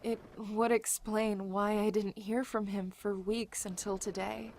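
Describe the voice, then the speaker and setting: A woman playing a polite, mild, curious woman in her twenties in a fan audio drama, speaking in a measured way. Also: medium pitch, little pitch movement, natural voice. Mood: thoughtful. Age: 20s. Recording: clearly noisy background ambience.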